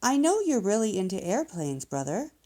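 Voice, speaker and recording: A woman's voice: medium pitch, sing-song, moderate pace, mellow. A woman voicing an enthusiastic male professor bear who explains things in an encouraging, emphatic way, like a children's storybook guide.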